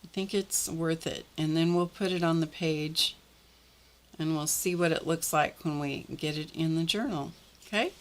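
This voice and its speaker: A middle-aged woman with a slightly croaky voice, hosting a live art journaling session and chatting casually while she works with her hands. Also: low-pitched, normal speed. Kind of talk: live craft demonstration.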